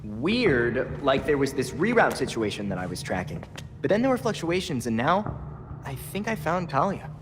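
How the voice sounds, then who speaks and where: A performer playing an earnest, excitable young vigilante detective in a video game cutscene. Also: mid-range voice, moderate pace, background noise, natural voice. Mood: puzzled, focused. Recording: reverberant hall, loud music behind.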